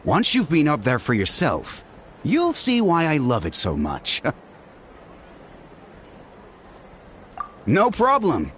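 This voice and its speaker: A man's voice: on the high side, wildly swooping pitch, a little slow, fairly bright tone, slight background noise, exaggerated voice. A man playing an easygoing, contented young balloon operator in a video game, casual and earnest. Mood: friendly.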